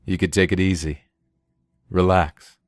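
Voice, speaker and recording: A man voicing an apologetic, accommodating official in a story, soothing and measured. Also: low-pitched, fairly even intonation, no echo. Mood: apologetic, reassuring.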